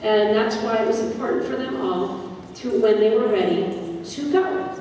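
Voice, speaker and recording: A woman's voice: a little high, normal speed, mellow. A woman drama director talking informally and explaining things to an audience in a question-and-answer session. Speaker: drama director leading a post-show talkback. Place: large indoor hall with audience. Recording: echoey hall from far off, audience noise.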